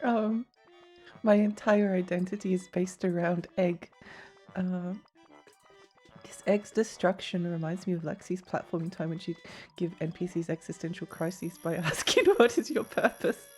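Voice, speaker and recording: A woman's voice: lowish voice, steady tone, a little slow, darkish tone, faint music behind. Giggly, rambling woman streamer. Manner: rambling, giggly, many fillers and restarts. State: slightly croaky voice. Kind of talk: casual live-stream chatter while gaming.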